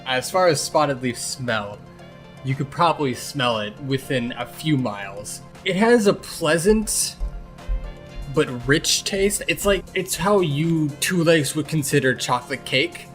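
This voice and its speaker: A man's voice: fairly high pitch, lively intonation, measured pace, a bit bright, faint music behind. A man voicing a snarky, exasperated blind medicine cat in an improvised fan Q&A, rambling sarcastically and swearing. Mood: dry, opinionated. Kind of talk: improvised character Q&A in casual chat.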